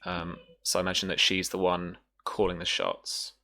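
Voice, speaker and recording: A game master narrating a sci-fi tabletop roleplaying game in short, hesitant phrases with deliberate pauses. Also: low voice, monotone, gravelly, pushed and bright.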